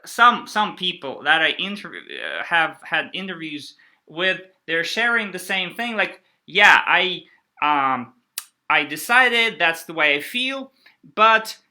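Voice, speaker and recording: Interview host, very slow, bright sharp tone, halting questions, many fillers. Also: medium pitch, sing-song. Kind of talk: conversational interview.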